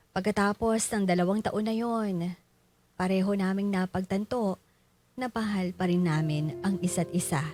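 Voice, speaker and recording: An actress playing a grateful wife who narrates her life story in a Filipino radio drama, sincere and measured. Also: medium pitch, close to an everyday voice.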